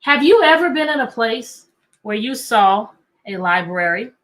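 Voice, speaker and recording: A woman teacher reading a picture book aloud to children, animated and coaxing, often repeating questions to draw out answers. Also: fairly high pitch, unhurried. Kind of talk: interactive children's read-aloud.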